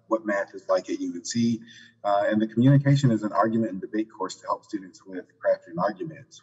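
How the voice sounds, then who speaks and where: Male presenter at a conference, lower voice, relaxed delivery with fillers, dull echoing hall audio. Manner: relaxed, filler-heavy, skipping ahead for time. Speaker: program director presenting at a conference. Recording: muffled audio in a reverberant hall.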